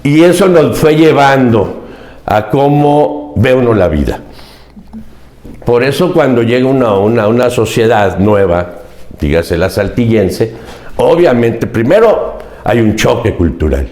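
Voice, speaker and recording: A man's voice: mid-range voice, big pitch swings, a little slow, a little mellow, reverberant. A Mexican man in his seventies, a podcast guest recounting his life in an earnest, emphatic way and often repeating key phrases. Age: 70s. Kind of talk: reflective interview storytelling.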